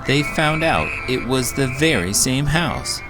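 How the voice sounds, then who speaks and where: A man reading a children's storybook aloud in an expressive, dramatic, emphatic narrating style. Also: medium pitch, takes it slowly, low-quality recording. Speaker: children's book reader, elementary school teacher. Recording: music behind the voice. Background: prominent music.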